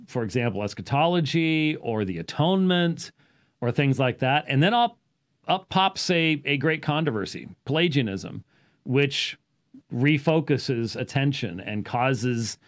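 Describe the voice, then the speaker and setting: Deliberate middle-aged man explaining. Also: medium pitch. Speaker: talk show host and teacher. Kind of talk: off-the-cuff explanatory talk answering listeners. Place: small indoor study with bookshelves. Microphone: studio microphone on boom arm, close.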